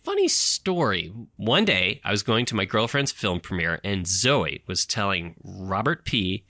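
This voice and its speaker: A man's voice: on the low side, sing-song, unhurried, bright, forceful tone, no echo, clean studio sound, full character voice. A man playing an eager, gullible radio station colleague in an audio comedy drama, gushing and rambling as he talks. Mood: rambling, friendly.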